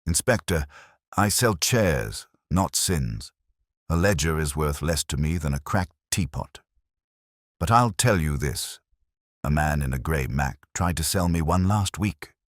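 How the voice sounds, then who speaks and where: A man's voice: rumbling low, lively intonation, a little slow, no echo, exaggerated voice. A man voicing a prim, haughty antique dealer with dry wit and clipped indignation in a mystery story. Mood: affronted.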